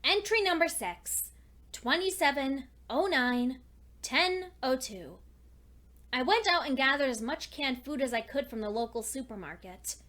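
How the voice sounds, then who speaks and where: A woman voicing a lonely, studious female pony who clings to hope, reading her diary entries in an earnest, deliberate, dramatic fan fiction style. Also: high-pitched, expressive, bright, forceful tone, exaggerated voice. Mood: businesslike, resolute.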